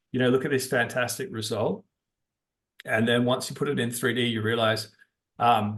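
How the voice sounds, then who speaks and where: A man giving unscripted explanations as an interview guest, talking haltingly as he thinks aloud. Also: medium pitch, a bit bright. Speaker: interview guest, geology-minded stock investor.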